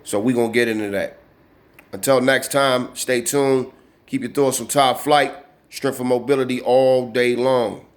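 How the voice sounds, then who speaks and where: A man's voice: mid-range voice, fairly even intonation, a little slow. A man in his early forties hosting his own channel, talking casually at his desk, with heavy slang, a lot of cursing and constant "you know what I'm saying". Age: 40s. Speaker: channel host. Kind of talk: casual vlog talk to camera.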